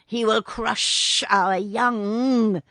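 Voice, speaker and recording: A woman voicing a group of hostile cobras, speaking slowly and scornfully. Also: fairly high pitch, fairly even intonation, sharp tone, dry recording, studio quality, pitched above the performer's own voice, full character voice.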